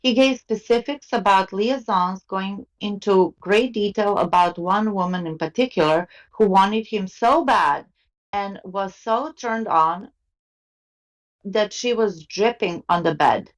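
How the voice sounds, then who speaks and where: A woman's voice: mid-range voice, unhurried, rough recording. A woman co-hosting a podcast, reasoning through her views and asking others to agree, and at times reading a book passage aloud. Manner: analytical, asks for agreement. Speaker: podcast co-host and reader. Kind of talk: casual discussion and reading aloud.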